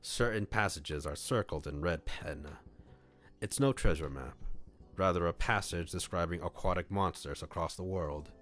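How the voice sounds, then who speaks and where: A man streaming an expressive, playful read-aloud of a visual novel, narrating and acting out the dialogue. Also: low voice, normal speed. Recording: music behind the voice. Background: game music.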